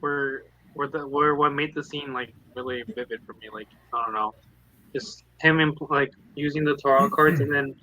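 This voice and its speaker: A man's voice: mid-range voice, steady tone, moderate pace, pushed and bright. A man co-hosting a casual book chat, rambling and acting out his own reactions as quotes. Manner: rambling, self-quoting. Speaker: readalong co-host. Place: online group call. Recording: dull, band-limited call audio.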